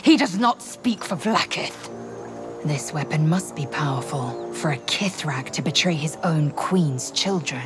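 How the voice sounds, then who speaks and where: A woman's voice: deep voice, expressive, measured pace, over music. An actress voicing a stern, proud alien warrior woman in a video game, with clipped, imperious and formal delivery. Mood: indignant, proud. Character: stern, proud young female alien warrior devoted to her queen.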